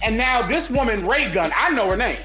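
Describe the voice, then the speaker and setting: A man's voice: very high voice, expressive, fairly quick, music in the background. A man co-hosting a casual commentary chat, speaking in a preachy, sermon-like way. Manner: sermon-like, religious talk.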